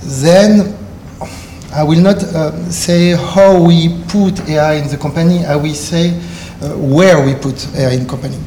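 French-accented man, higher voice, echoey hall. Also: mellow. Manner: halting non-native English with many filler uhs. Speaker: panelist. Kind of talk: conference panel talk. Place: conference hall. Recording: echoey conference hall with faint noise.

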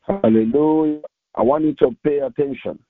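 A man preaching as a pastor, reading scripture aloud in a solemn, deliberate way with short halting phrases, over a narrow phone-like recording. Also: fairly high pitch, no room sound. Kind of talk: devotional sermon with slow scripture reading aloud. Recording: tinny, phone-like narrowband audio.

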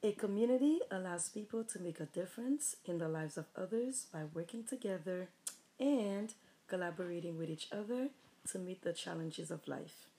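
Woman with a Haitian accent, low and gravelly, warm mellow tone, earnest measured delivery. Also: poor audio. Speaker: mother, high school teacher. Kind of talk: reading prepared interview answers.

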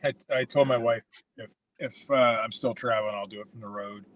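A man's voice: mid-range voice, fairly even intonation, brisk, a bit bright. Southern man joking from a parked car. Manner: wry, joking, swears freely. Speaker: podcast co-host. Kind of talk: casual podcast chat.

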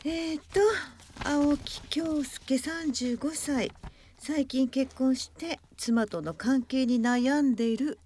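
A woman's voice: high voice, takes it slowly, a little mellow, a little hiss or hum. A woman voicing a tired, bossy female fortune teller who complains about her workload, in a voice drama. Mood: businesslike. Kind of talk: voice drama acting.

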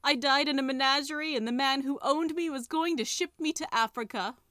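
A woman's voice: high-pitched, a little fast, a bit bright, dry recording, clean studio sound, pitched above the performer's own voice. A woman voicing a lonely, mournful talking tiger skin that wails and pleads. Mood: plaintive. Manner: plaintive, wailing.

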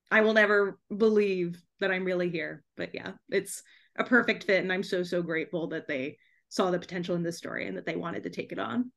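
A woman's voice: mid-range voice, fairly bright tone. A young woman chatting casually and effusively as a podcast guest, giggly and sprinkling her talk with "like". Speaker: podcast guest, debut author.

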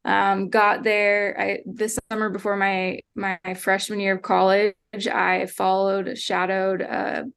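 A woman's voice: medium pitch, flat delivery, slow, creaky, hardly any pauses, fairly bright tone, clean tone. A young woman from Pennsylvania, a guest on a video call, chatting casually and laughing lightly as she talks. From Pennsylvania, United States. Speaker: guest, social media manager. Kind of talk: casual interview chat.

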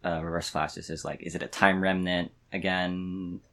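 A man with a low voice chats as a podcast co-host. He sounds tentative and musing, working through his thoughts in a relaxed fan conversation. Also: normal speed. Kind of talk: casual fan discussion.

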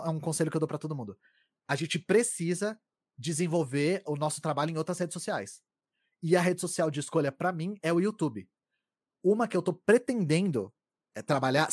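A young adult man from Greater São Paulo, a content creator and streamer, chatting casually in a talkative, animated way. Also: a little high, husky, no room sound, studio quality. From Greater São Paulo, Brazil. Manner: talkative, fast, animated storytelling. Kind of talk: casual conversation.

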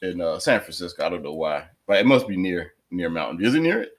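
A man's voice: low-pitched, expressive, fast, a little hiss or hum. A man hosting a podcast, joking around and laughing often in loose, rambling chat. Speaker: podcast host.

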